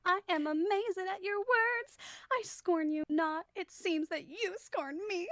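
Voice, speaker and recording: A woman puts on a young storybook princess in a stage comedy, high and sweet. She sounds theatrical, pleading and bewildered, recorded dry and close. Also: takes it slowly, fairly bright tone, no room sound, exaggerated voice.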